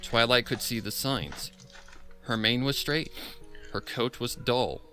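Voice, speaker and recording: A man's voice: mid-range voice, slow pace, sharp tone, faint music behind. A man reading fan fiction expressively, as in a group voice chat, acting out the dialogue as he goes. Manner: acts out the dialogue as he reads.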